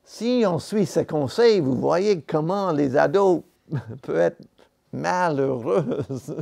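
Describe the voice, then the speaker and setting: A man preaching a sermon in a church, a non-native speaker of the language, talking slowly and deliberately with pauses and self-corrections. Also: on the high side, expressive, vocal fry, darkish tone. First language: not French.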